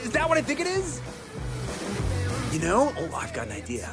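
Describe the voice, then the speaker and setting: An eager racing crew mechanic in a video game cutscene. She is cheeky, slangy and excitable, with an animated, swooping pitch under loud music. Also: normal speed. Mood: excited, scheming.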